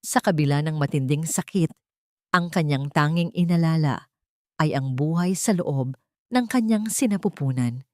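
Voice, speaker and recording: Female narrator for audio drama: lowish pitch, solemn and emotive delivery, dry close sound. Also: moderate pace, darkish tone, no echo. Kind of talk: dramatic scripted story narration.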